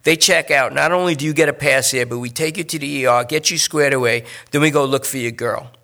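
Man doing a brisk coaxing detective. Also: mid-range voice, vocal fry, sharp tone, voice pushed up. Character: coaxing middle-aged male police detective.

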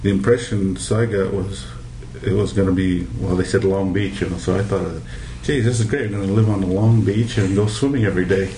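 Middle-aged male interviewee from Hawaii, relaxed dark tone, casually telling memories, roomy sound with faint noise. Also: low voice, fairly quick, few pauses. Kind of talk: casual oral history interview, reminiscing. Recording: echoey room with background noise.

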